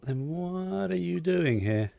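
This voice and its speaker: A man tosses out wry, loud quips as he plays, his voice swinging in pitch. He drawls his remarks slowly and sounds a bit away from the mic. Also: expressive, vocal fry, lo-fi sound, a little hiss or hum. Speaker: streamer. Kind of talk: live reactions while playing a game.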